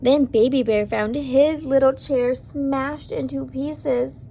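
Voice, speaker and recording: Woman storyteller, high voice, very unhurried, expressive read-aloud for kids, slightly dull recording. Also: creaky, a little hiss or hum. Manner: deliberate, dramatic emphasis, prompting questions. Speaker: storyteller for young children. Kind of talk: expressive children's read-aloud with asides to listeners.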